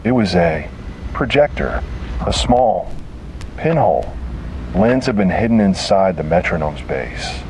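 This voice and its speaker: Very deep male horror narrator over noise. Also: very animated, measured pace, darkish tone, poor audio. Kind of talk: scripted horror story narration, first-person detective.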